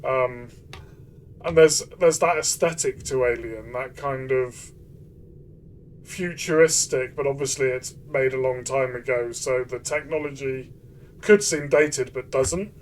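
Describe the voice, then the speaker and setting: A man's voice: mid-range voice, unhurried, distant mic, slight background noise. A man co-hosting a casual game review, talking conversationally and hesitantly, repeating phrases as he builds his point. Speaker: co-host of a game review show.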